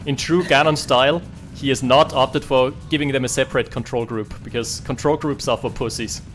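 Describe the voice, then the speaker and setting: A man calls a real-time strategy match with quick, lively reactions and teasing jokes, his voice at a typical middle pitch. Faint music runs underneath. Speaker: esports caster. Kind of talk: casual game commentary.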